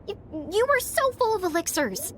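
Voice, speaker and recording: A woman playing a feisty, sarcastic, easily spooked young sister in an animated game cutscene. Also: very high-pitched, moderate pace, slight background noise, exaggerated voice. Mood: uneasy.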